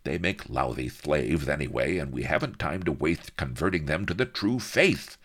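A man's voice: extremely low pitch, lively intonation, normal speed, fairly bright tone, breathy edge, exaggerated voice. Mad old tyrant viceroy with a lisp and loose dentures, roaring and gloating, a man plays him in a comic audiobook. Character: lisping greedy mad old male viceroy.

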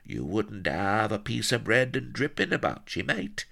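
A man's voice: lowish voice, big pitch swings, measured pace, gravelly, pushed and bright, pitched above the performer's own voice, heavily acted. A man voicing a crazed old castaway gardener with a West Country accent, rambling and whining in rustic dialect in an audiobook. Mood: whining, cringing. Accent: West Country English.

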